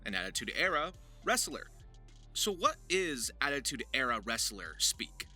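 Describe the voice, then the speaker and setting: A man hosts a casual tutorial in a very bright, forceful voice that moves up and down a lot. He is emphatic and jokey, happy to poke fun at himself, with music playing underneath. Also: medium pitch, big pitch swings, normal speed. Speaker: voice actor hosting a tutorial.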